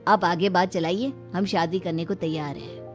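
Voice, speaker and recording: A woman's voice: on the low side, steady tone, moderate pace, faint music behind, close to an everyday voice. A woman voicing an impatient middle-aged husband who orders his wife around. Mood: respectful. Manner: curt, ordering.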